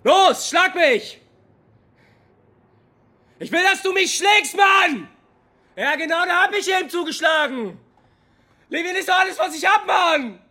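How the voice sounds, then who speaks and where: A man's voice: extremely high pitch, animated, a little slow, long pauses, sharp tone, shouting, full character voice. An actor playing a lovesick, hot-headed young man with a raw, emotional, colloquial delivery in a radio drama. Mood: desperate fury. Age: young adult. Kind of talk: German radio drama acting.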